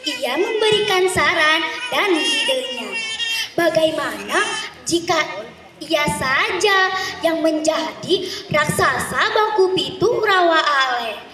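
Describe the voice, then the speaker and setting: A woman narrating a folk tale theatrically as a contestant, deliberate and with dramatic pauses. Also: high voice, lively intonation, sharp tone, soft background music. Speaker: storytelling contestant. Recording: echoey hall, distant microphone.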